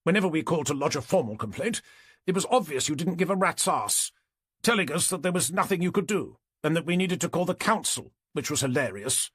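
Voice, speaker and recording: A man's voice: on the high side, lively intonation, brisk, a bit bright, husky, no echo, studio quality, voice pushed up. A man voicing an indignant, pompous middle-aged neighbour in an audiobook, with a clipped British accent. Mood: exasperated. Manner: pompous, exasperated.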